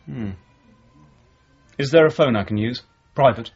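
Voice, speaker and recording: An actor playing a measured, questioning male police detective sergeant in a 1980s radio drama. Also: medium pitch, darkish tone, husky, soft background music. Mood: inquiring, dry. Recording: dull, muffled radio broadcast recording.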